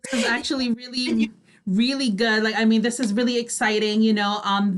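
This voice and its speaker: High-pitched woman, chatty radio co-host. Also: fairly bright tone. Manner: chatty, agreeable, frequent "like" and "you know". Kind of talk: casual chatty co-hosting.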